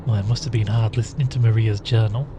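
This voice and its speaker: An actor playing an earnest young male investigator in an audio drama, narrating and questioning in a measured, deliberate, inquisitive way. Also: fairly low pitch, steady tone, background noise, natural voice. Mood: curious.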